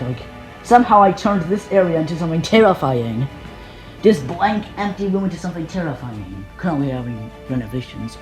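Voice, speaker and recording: A filmmaker narrating a behind-the-scenes vlog in a boastful, halting, repetitive way. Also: medium pitch, poor audio. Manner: boastful, self-congratulatory. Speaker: filmmaker making analog horror videos.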